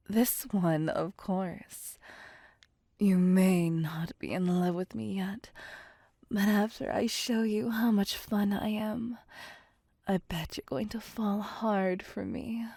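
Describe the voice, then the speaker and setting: An ancient female vampire, acted by a woman for an ASMR roleplay, possessive and playful. She speaks very slowly in a dark, breathy near-whisper, coaxing and commanding the listener as her prey. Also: medium pitch, dry recording, clean studio sound. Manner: slow, teasing, coaxing and domineering.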